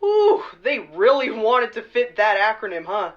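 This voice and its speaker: A woman's voice: on the high side, big pitch swings, measured pace. A woman playing a casual, wry journalist guest bantering on a talk show in a radio play, recorded a little dully. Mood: wry, relaxed. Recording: slightly dull, lo-fi remote recording.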